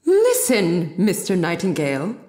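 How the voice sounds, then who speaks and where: A woman's voice: lowish voice, huge pitch swings, unhurried, warm tone, roomy sound. A woman voicing a formal, courtly Prime Minister in a storybook tale, speaking in a proclaiming manner.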